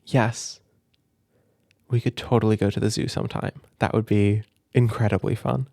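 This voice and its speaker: A young man doing gentle, affectionate ASMR roleplay, speaking softly and simply to a listener as if reading aloud at bedtime. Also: lowish voice, fairly even intonation, normal speed, creaky, no room sound, clean studio sound. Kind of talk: soft intimate ASMR roleplay and storybook reading. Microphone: close microphone in a dry space.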